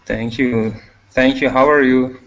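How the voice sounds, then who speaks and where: A man from Iran, a non-native English learner, speaking haltingly in class. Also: medium pitch, fairly even intonation. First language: not English. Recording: muffled, lo-fi online call.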